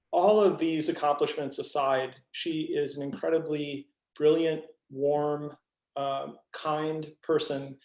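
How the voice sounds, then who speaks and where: A man with a fairly high voice introduces a guest. He reads through a list of her achievements in an admiring tone and slips in fillers. The recording sounds like an echoey room. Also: darkish tone. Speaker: interviewer.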